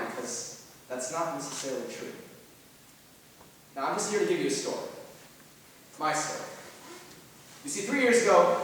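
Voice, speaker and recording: A young man performing a dramatic monologue as an anxious twenty-year-old looking back on depression, halting and earnest, with theatrical swings in volume. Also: medium pitch, natural voice. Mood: nervous, earnest. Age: young adult. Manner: halting, earnest, swinging from quiet to loud outbursts. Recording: very echoey hall from far off, noisy.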